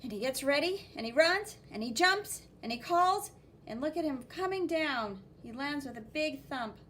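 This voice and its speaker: A woman reading a picture book aloud to children as a teacher, expressive and exclaiming, with spoken sound effects and commentary. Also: high voice, normal speed, slight background noise.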